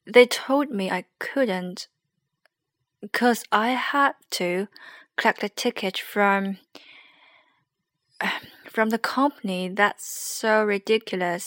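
A young woman with a Chinese accent, rambling hesitantly and softly in a late-night solo podcast. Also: mid-range voice, no echo, studio quality. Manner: hesitant, rambling, soft and sleepy. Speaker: podcast host.

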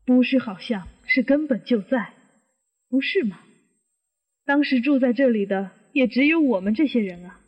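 A woman's voice: a little high, lively intonation, moderate pace, slightly rough. A woman acting an insistent, challenging suspect in a mystery radio drama, with pointed delivery. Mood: accusing. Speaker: voice actor in an amateur audio drama. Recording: dull, reverberant recording.

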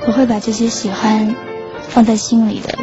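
A woman as a wistful high-voiced lovelorn schoolgirl. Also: level tone, moderate pace, natural voice. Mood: tender, bittersweet. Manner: wistful, earnest, softly self-effacing. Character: lovelorn schoolgirl narrating her quiet heartbreak. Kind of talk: Chinese radio drama acting with inner monologue. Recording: dull lo-fi audio under clear music.

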